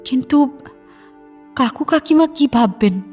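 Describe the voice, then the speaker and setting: Audio drama role: wistful, worried young woman, high voice, halting emotional confidences over a music bed. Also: animated, a little mellow. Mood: worried, hesitant. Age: young adult. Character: worried, wistful young working woman devoted to her ailing brother. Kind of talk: audio drama acting in intimate dialogue.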